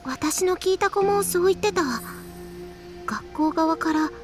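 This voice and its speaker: A woman's voice: very high-pitched, a little fast, music in the background. An actress playing a gossipy young schoolgirl in a visual novel, with a girlish anime-style delivery.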